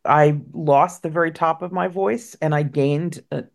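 A woman in her fifties with a low voice, an opera singer answering interview questions earnestly and speaking warmly of her mentors. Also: steady tone, measured pace, gravelly. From New York State, USA. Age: 50s. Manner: earnest, effusive about mentors.

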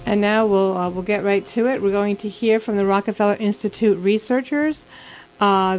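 A woman's voice: medium pitch, darkish tone, rough recording. A woman hosting a radio magazine, reading show introductions.

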